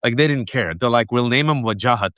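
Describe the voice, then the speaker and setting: A man in his forties hosts a conversational interview. He tells lively personal stories, often stopping for asides. His voice sits mid-range, and it is recorded dry with no room echo. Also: mid-range voice, a little fast. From United States. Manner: animated storytelling with asides. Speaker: host.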